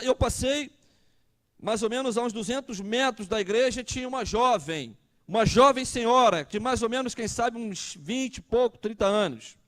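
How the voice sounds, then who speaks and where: High-voiced Brazilian pastor in his thirties. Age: 30s. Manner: conversational, asks the congregation questions. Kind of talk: sermon.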